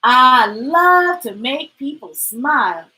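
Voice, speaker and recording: A woman's voice: high-pitched, expressive, takes it slowly, pushed and bright, full character voice. A woman voicing a good-humored, cheerful dentist in a storybook, upbeat and reassuring.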